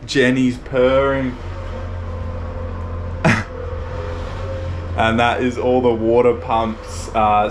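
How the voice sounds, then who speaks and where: A man vlogging casually from a remote island lighthouse, chatting as he walks viewers through his morning chores. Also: medium pitch. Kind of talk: casual first-person vlog narration.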